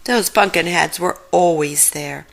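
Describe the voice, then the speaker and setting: A woman's voice: on the low side, measured pace, vocal fry. A woman voicing a sensible, matter-of-fact young female sleuth who explains patiently in a cartoon storybook. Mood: explaining.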